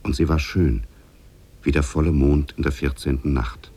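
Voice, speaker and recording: A man narrating a fairy tale for 1950s German radio drama in a measured, solemn storytelling manner. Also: extremely low pitch, steady tone, warm tone, a little hiss or hum.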